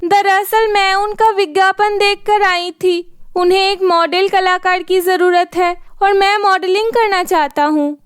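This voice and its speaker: Earnest young dreamer, played by a woman, cartoon fairy tale, very high, slow, pleading. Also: steady tone, no room sound, clean studio sound. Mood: polite, earnest.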